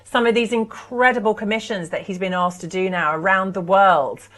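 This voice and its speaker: A woman's voice: mid-range voice, fairly even intonation, moderate pace, a bit bright. A woman presenting artwork on a live auction stream, enthusiastic and persuasive.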